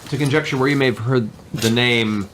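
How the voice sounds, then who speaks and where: A man's voice: medium pitch, fairly even intonation, a little fast, heavy background noise. A man narrating an improvised tabletop game as its game master.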